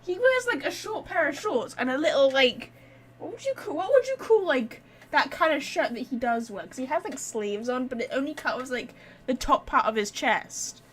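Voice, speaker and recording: A woman's voice: high-pitched, very animated, normal speed. A woman doing casual let's-play commentary as a gaming streamer, blunt, sweary and joking.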